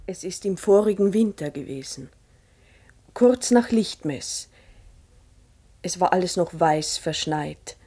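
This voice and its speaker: An actress playing a troubled farm wife, telling her story gravely and deliberately in a 1960s radio play. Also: lowish voice, lively intonation, mellow, heavily acted. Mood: grave recounting. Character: troubled farm wife recounting an eerie event. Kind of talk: 1960s German-language radio play acting.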